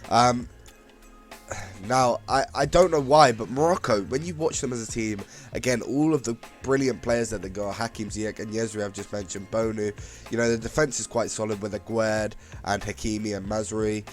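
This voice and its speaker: Host: man, lowish voice, raspy from a cold, casual chat, faint music under. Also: fairly even intonation, moderate pace. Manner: chatty, frequent fillers and restarts. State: ill, slightly hoarse. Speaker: football channel host. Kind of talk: casual predictions talk to camera.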